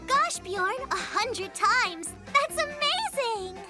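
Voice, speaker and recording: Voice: very high-pitched, animated, slow, full character voice. A performer voicing a sweet, earnest little female animal in a children's cartoon, with a hesitant, eager-to-please delivery. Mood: admiring. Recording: children's music behind.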